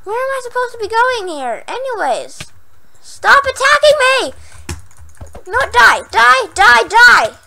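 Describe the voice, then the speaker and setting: A young woman playing a horror game, hoarse from losing her voice, jumpy and prone to yelps and squeals when startled, then chatty again. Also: very high-pitched, big pitch swings, unhurried, sharp tone, a little hiss or hum. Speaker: gaming channel host. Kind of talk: Minecraft horror map let's play.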